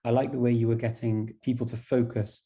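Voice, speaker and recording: Mellow-voiced man hosting a video call. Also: mid-range voice, fairly quick. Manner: asks questions in a relaxed conversational way. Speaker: conference host. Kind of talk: interviewing over video call.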